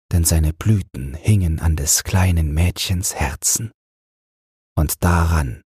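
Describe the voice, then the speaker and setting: A man gently narrating a bedtime fairy tale in a soothing, measured way, close to the microphone. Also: low-pitched, slightly rough, no echo. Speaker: audiobook narrator.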